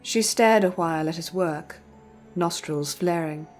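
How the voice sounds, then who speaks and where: A woman's voice: lowish voice, a little slow. A woman reading scripted storybook narration as the narrator. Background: music.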